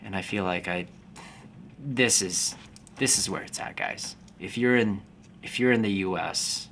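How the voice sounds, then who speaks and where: A man in his forties, born in the Philippines, a fitness business coach talking to camera in a calm, slow, unhurried way. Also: sits low, creaky, slight background noise. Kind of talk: casual talking-to-camera vlog.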